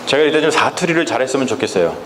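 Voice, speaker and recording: A male pastor preaching a Sunday sermon in a church, speaking emphatically and often turning to address the congregation directly, slowing down to stress key phrases. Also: medium pitch, very animated, background noise. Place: church sanctuary. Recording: echoey church with faint ambience.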